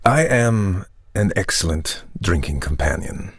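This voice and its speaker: Low, husky, urbane vampire. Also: male voice, lively intonation, dry recording, studio quality, full character voice. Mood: suave, courteous. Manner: formal, polite, measured. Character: urbane, courteous male vampire, wealthy and charismatic newcomer. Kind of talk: comic audio drama narration.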